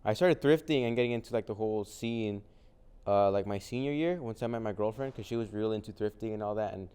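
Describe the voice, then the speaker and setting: A young man from Mexico whose first language is Spanish, speaking in a low, smooth, mellow voice. He answers easygoing questions in loose, casual talk, dotted with fillers. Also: fast. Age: young adult. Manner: relaxed, many fillers like "um" and "like". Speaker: guest, vintage clothing reseller. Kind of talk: casual interview conversation.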